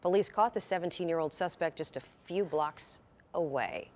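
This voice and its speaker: A woman's voice: low voice, normal speed, darkish tone. A woman with an American accent anchoring TV news, reading stories and putting questions to a guest.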